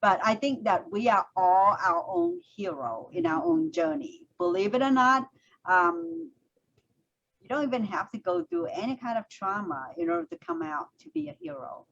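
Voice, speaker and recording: A woman's voice: on the high side, sing-song, moderate pace, gravelly, fairly bright tone. A woman from Vietnam, hosting a conversational interview over a video call, speaking thoughtfully with frequent fillers. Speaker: host. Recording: dull lo-fi audio with faint noise.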